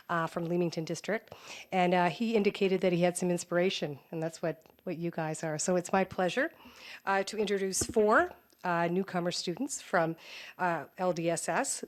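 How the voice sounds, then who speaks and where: A woman school board director introducing students at a meeting, with frequent hesitant fillers. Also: on the low side. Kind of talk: formal introduction.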